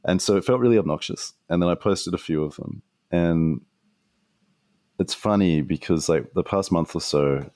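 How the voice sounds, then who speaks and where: A podcaster man with a deep voice, sitting low at the very bottom of the male range. He muses aloud in a relaxed, wandering way, trailing off and restarting. The sound is close and completely dry. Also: dry recording. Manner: thoughtful and rambling, many fillers and restarts. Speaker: podcast guest, podcaster and former radio host. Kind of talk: casual reflective conversation.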